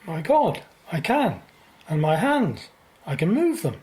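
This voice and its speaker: Terse son, a patient in a radio drama, slow halting bits of speech, pitch moves around. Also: female voice, wildly swooping pitch, noisy background. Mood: amazed.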